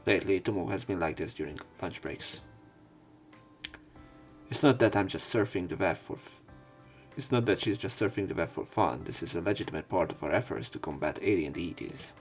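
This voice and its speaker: A man's voice: low voice, fairly quick, faint music behind. A man reading visual novel narration aloud as a let's play commentator, dropping in casual, wry asides.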